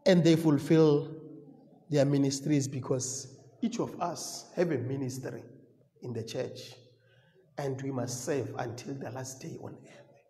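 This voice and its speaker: High-pitched South African preacher in echoey room. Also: male voice, darkish tone. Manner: emphatic, repeats phrases and pauses for weight. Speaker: teaching pastor. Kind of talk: sermon, code-switching teaching. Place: classroom with chalkboard and brick wall, indoors. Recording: echoey hard-walled room, mic at a distance.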